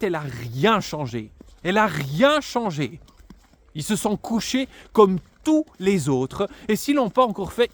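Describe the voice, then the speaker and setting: Casting: male political commentator, fairly high voice, sarcastic, emphatic delivery, halting with fillers. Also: no echo. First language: bilingual French and Italian. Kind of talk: unscripted political monologue.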